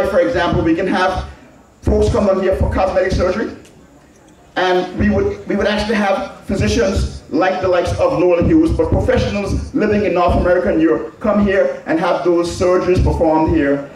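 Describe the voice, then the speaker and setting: A man with an Anguillan Caribbean accent giving a political public address in an emphatic, deliberate manner, repeating phrases for stress. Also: sits high, darkish tone. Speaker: public speaker addressing a community. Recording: very echoey public address, distant mic.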